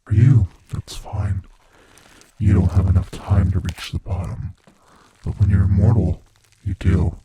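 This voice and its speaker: A man voicing an ancient immortal monster in a horror ASMR roleplay, hushed and breathy, slow and menacing, world-weary yet savoring fear. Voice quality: hushed, husky, breathy low voice. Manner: slow, menacing, philosophical, intimately taunting. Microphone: close studio mic, dry.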